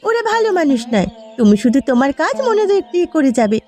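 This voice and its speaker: A woman's voice: sits high, very animated, fast talker, a little mellow. A woman voicing a proud, protective village housewife in a cartoon, nagging and emphatic as she speaks. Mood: firm, advising. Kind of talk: cartoon dialogue.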